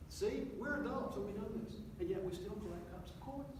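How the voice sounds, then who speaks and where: A man's voice: high voice, fairly quick. A man in his fifties giving a casual lunch talk to a small group, chatting and asking questions. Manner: friendly, asks the audience questions. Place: meeting room. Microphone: distant room mic. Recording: echoey room from far off, noisy.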